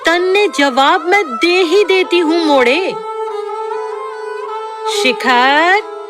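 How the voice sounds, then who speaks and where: A woman voices a theatrical female ghost from a horror audio drama, high and slow, with a Rajasthani accent. Faint music plays under her drawn-out lines. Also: full character voice. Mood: taunting menace. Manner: theatrical, slow, taunting or pleading. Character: vengeful Rajasthani ghost woman, once a frightened bride.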